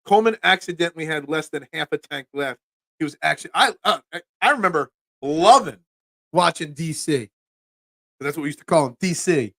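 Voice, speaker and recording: A man's voice: a little high, fairly quick, gravelly, a bit bright, no room sound, studio quality. A man in his forties with a Philadelphia accent, hosting a solo sports talk show and rambling with stammered restarts as he reads chat. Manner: rambling, stammering restarts, chatty asides to viewers.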